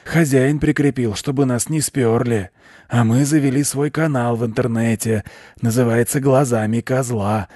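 A man's voice: mid-range voice, fairly even intonation, moderate pace, mellow, dry recording, clean studio sound, pitched above the performer's own voice, close to an everyday voice. A man voicing a proud, boastful and stern male goat in a children's story. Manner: boastful, commanding.

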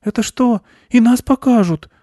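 A man's voice: very high-pitched, normal speed, mellow, dry recording, studio quality, pitched above the performer's own voice. A man voicing a blunt, indignant young girl who exclaims and protests in a children's story. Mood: blunt, excited.

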